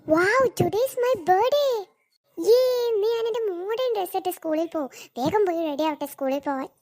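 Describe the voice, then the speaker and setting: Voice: extremely high pitch, lively intonation, normal speed. A performer voicing an eager, vain little cartoon schoolgirl with a childish, exclamatory delivery. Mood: excited, boastful. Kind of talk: scripted cartoon dialogue.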